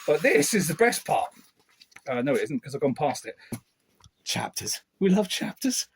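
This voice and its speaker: A man's voice: big pitch swings, moderate pace, creaky, husky. A man interviewing an author in a playful, joking way. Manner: playful, jokey, swings up and down in pitch. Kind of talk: casual, joking interview chat.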